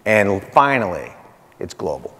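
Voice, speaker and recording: A man's voice: low voice, very animated, a little slow, vocal fry, fairly bright tone. A man in his forties giving a conference keynote in punchy short phrases, repeating key points and checking in with "right?". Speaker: keynote speaker, marketing executive. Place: large hotel conference stage, indoors. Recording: reverberant conference hall.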